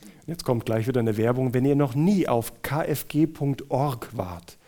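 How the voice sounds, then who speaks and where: A man's voice: mid-range voice, normal speed. A man with a Hessian German lilt introduces a guest speaker to a church gathering. He speaks in a personal, chatty way and turns to the listeners with light jokes and questions. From Hesse, Germany. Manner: warm, personal, joking asides to the audience. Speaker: conference moderator introducing a speaker.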